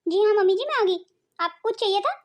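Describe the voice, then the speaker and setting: A woman's voice: very high-pitched, lively intonation, fast talker. A woman voicing a dutiful, polite young daughter-in-law in a Hindi cartoon family drama. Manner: polite, deferential.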